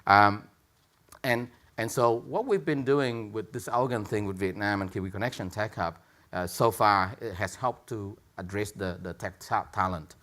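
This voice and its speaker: A man with a very low voice speaks on a panel as a tech entrepreneur. His delivery is halting, full of restarts, repeated words and fillers. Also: a bit bright. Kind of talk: panel discussion remarks.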